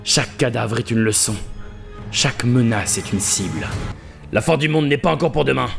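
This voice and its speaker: A man's voice: medium pitch, lively intonation, normal speed, gravelly, over music, pitched above the performer's own voice. A man voicing a cocky, hard-boiled action hero with terse, dramatic delivery, in amateur dub style. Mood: cocky menace. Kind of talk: amateur fandub character acting.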